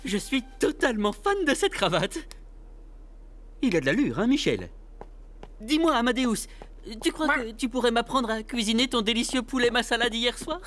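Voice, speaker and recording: An actor voicing an enthusiastic, easily delighted father in an animated cartoon, expressive, with music behind. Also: extremely high pitch, normal speed, full character voice.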